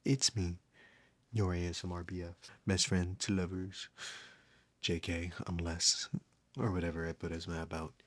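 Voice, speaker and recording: A man's voice: low voice, level tone, normal speed, no echo. A man who makes ASMR roleplays, talking casually to his listeners in an offhand, joking, self-deprecating way. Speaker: ASMR audio roleplay creator.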